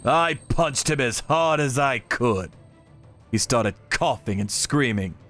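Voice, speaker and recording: A man's voice: medium pitch, lively intonation, slow, fairly bright tone, soft background music. A man narrating a dramatic story in the first person with theatrical delivery. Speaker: narrator of a manga channel story.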